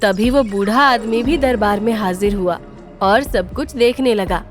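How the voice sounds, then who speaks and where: A woman's voice: medium pitch, a little slow, music in the background. A woman reading scripted storytelling narration for a cartoon tale.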